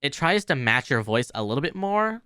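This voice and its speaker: A man hosting a casual software tutorial. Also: medium pitch, big pitch swings, normal speed, smooth, no room sound, studio quality. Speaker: tutorial host.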